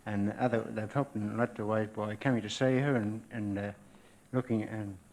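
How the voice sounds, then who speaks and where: A man's voice: lowish voice, fairly even intonation, moderate pace, a little mellow, poor audio. A middle-aged Australian man answering questions as a quiz contestant, plain, polite and hesitant, on a worn old radio recording.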